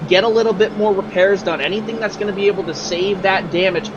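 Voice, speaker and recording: High-voiced man explaining race strategy. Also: fairly even intonation, quick pace, dull audio. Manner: casual, explanatory, many fillers. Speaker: color commentator. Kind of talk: sim racing broadcast commentary. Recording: noisy track sound behind.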